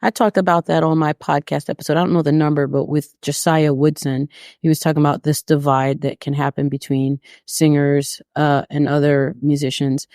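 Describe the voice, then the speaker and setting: Gravelly-voiced woman hosting a podcast. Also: deep voice, little pitch movement, moderate pace, darkish tone, no room sound, clean studio sound. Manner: supportive, frequent backchannel agreement. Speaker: podcast host. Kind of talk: casual podcast interview.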